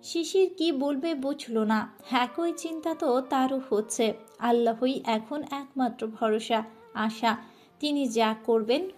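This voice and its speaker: Woman narrator, soft, emotive, slightly high voice, faint music under. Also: slow pace. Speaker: story narrator. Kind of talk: scripted romantic story narration.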